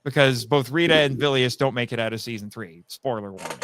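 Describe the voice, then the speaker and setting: A man chatting casually as a guest on a live podcast, telling stories in a rambling, animated way and acting out quoted remarks. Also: mid-range voice, fairly quick, a little hiss or hum. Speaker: guest, audio drama writer.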